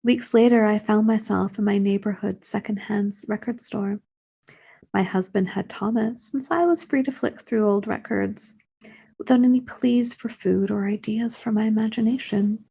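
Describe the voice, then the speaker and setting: Female story reader, dark husky tone, literary first-person narration. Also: medium pitch, normal speed.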